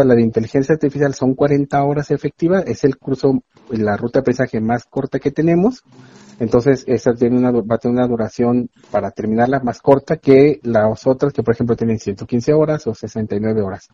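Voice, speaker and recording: Male academic guest, husky and warm-toned, earnest explainer, dull dry lo-fi recording. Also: mid-range voice, no room sound. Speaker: university expert guest. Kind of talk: explanatory interview talk.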